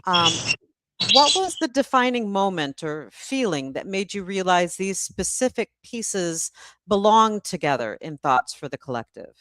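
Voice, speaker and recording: A woman's voice: mid-range voice, sing-song, unhurried, a bit bright, no echo. A woman hosting a live stream in a casual, slightly hesitant way, repeating words as she thinks. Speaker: host of live book event.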